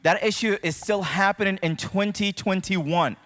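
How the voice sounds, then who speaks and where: A man's voice: high voice, normal speed, fairly bright tone. A man from Morocco preaching a sermon to a congregation, talking to his listeners directly and conversationally. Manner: conversational, addresses listeners directly with check-in questions. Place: church auditorium, speaking to a congregation. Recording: reverberant hall sound.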